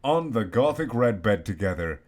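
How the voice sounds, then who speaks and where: A man giving a comedic dramatic reading of a story in a halting, mock-serious way. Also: lowish voice, sing-song, a little mellow. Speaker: reader.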